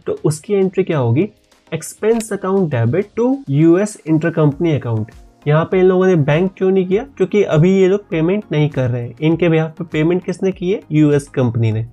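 A man's voice: a little high, dark tone. A man in his twenties with an Indian accent, giving an instructional explainer as a tutor, often asking questions and answering them himself. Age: 20s. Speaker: accounting tutor and channel host. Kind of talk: explainer lesson to camera with scripted examples. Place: small dim bedroom, indoors. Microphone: lapel mic. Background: background music.

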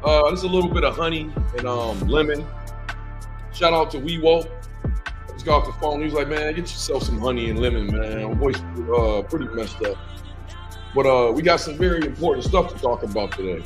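A hoarse man in his thirties, losing his voice, hosting a casual livestream from a small room and greeting viewers by name. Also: medium pitch, a little fast, over music. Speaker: livestream host.

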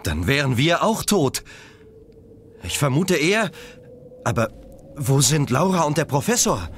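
An actor playing a brave, determined young hero in a dramatic, emphatic radio play style. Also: male voice, a little high, very animated, unhurried. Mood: thoughtful. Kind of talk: German children's sci-fi radio play acting. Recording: loud action noise behind the voice.

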